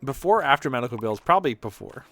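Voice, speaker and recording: A man's voice: mid-range voice, expressive, fast talker, a bit bright, faint music behind. A man streaming video games, chatting casually in a rambling way with some swearing. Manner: rambling, profane. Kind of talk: casual livestream chat over gameplay.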